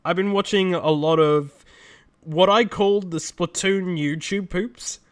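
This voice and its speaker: A young man co-hosting a casual chat show, telling animated stories and laughing often. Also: high voice, takes it slowly, smooth. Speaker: podcast co-host.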